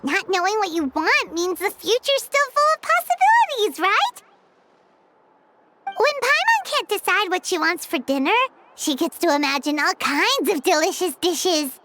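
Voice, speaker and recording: A tiny, cheeky game mascot girl, extremely high and squeaky. Her chatty, childlike delivery leaps wildly up and down, over clear background noise. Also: big pitch swings, moderate pace. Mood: encouraging. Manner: exaggerated, childlike, refers to herself by name. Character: tiny childlike female floating companion, chatty, cheeky, huffy. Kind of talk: video game voice acting.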